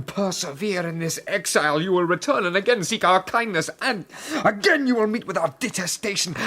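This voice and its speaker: A fairly high, husky young scientist, anguished and indignant. He speaks formally and dramatically, breath in the voice, as in an audio drama. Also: bright, forceful tone, exaggerated voice. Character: anguished young male scientist defying his monstrous creation.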